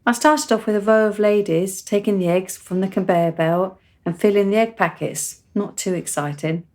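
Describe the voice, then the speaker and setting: An actress performs a frank country teenager. Her pitch sits in the middle and her speech is articulate and grown-up for seventeen. She chats in a cheerful, matter-of-fact way, as radio drama. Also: normal speed, darkish tone, close to an everyday voice. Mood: cheerful, enthusiastic. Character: frank, cheerful seventeen-year-old country girl, precociously mature. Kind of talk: radio play acting.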